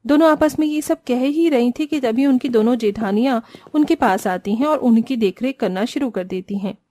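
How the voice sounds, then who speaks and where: A woman's voice: fairly high pitch, normal speed, mellow. A woman narrating a scripted family cartoon story.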